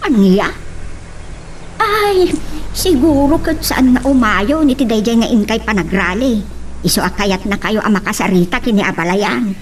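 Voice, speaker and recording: A woman's voice: a little high, lively intonation, moderate pace, close to an everyday voice. A woman playing an anxious, disapproving mother in a radio-style drama. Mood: worried, disapproving. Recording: faint background noise.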